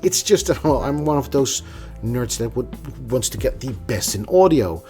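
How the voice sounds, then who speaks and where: A man's voice: medium pitch, expressive, normal speed, mellow, soft background music. A man giving a casual, opinionated tech review in a conversational manner. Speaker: product reviewer.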